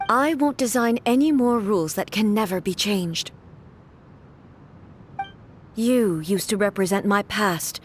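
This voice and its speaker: A woman playing a stern, formal warrior goddess in a video game, speaking in a measured, solemn way. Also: medium pitch, a little hiss or hum, close to an everyday voice. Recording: music behind the voice.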